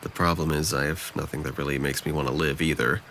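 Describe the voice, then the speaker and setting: Deep, gravelly, depressed young man, acted by a man. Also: steady tone, fast, fairly bright tone, a little hiss or hum. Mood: downcast, confessing. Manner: dry, hesitant, self-deprecating. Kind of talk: audio drama dialogue.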